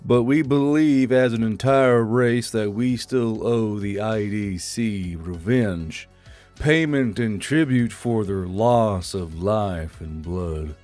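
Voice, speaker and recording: A man performs a battle-worn drill sergeant in a sci-fi audio story, solemn and heavy with regret. He speaks very slowly in a fairly low voice, as if delivering a grave speech. Faint music plays underneath. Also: a little mellow. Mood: solemn, regretful. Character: weary, solemn veteran male drill sergeant recounting an alien war. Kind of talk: dramatic audiobook character reading.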